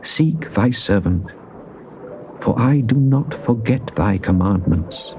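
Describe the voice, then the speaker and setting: Devout psalmist, solemn and theatrical scripture delivery, muffled cassette sound with hiss. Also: male voice, lowish voice, lively intonation, takes it slowly. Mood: earnest, pleading. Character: devout psalmist pleading and praising God. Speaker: scripture reader. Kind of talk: dramatized King James Bible reading. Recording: dull, noisy old cassette tape.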